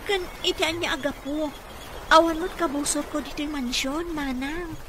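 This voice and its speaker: Caregiver mother, radio drama actress: fairly high voice, respectful and motherly, noisy background. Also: moderate pace, natural voice. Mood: worried. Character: kindly, dutiful mother working as a caregiver. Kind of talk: Ilocano radio comedy-drama acting.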